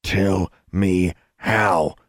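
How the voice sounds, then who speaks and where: A man's voice: on the low side, fairly quick, no room sound, clean studio sound, natural voice. A man voicing a hot-tempered, protective young wizard in an audiobook story, with a growling, snappish manner. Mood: strained, pleading. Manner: growling, snapping, sighing through clenched teeth.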